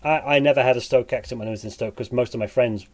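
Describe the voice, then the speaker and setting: A man with a northern English accent tinged with Sheffield, chatting as a podcast guest in a halting, wry way. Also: medium pitch, a little mellow. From England. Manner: halting, self-correcting, dryly wry. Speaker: podcast guest, musician and teacher. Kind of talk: casual podcast conversation.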